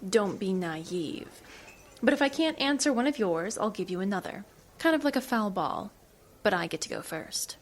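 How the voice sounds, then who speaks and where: An actress playing a cool, evasive government agent in an audio drama, with a dry, teasing, knowing manner. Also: female voice, medium pitch, lively intonation, normal speed. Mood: wry, controlled. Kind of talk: naturalistic audio drama acting.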